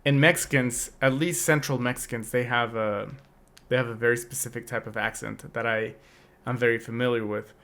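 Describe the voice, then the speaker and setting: A man's voice: medium pitch, fairly even intonation, normal speed, vocal fry, hardly any pauses, a bit bright. A young adult man co-hosting a podcast, talking in a casual, reflective way with many restarts. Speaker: podcast co-host. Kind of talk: casual chat.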